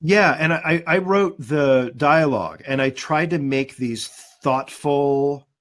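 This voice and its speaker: A man who is a guest voice actor, speaking in a thoughtful, relaxed way over a video call in a casual webinar chat. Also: a little high, takes it slowly. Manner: thoughtful, relaxed, with many fillers. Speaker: guest voice actor and demo producer.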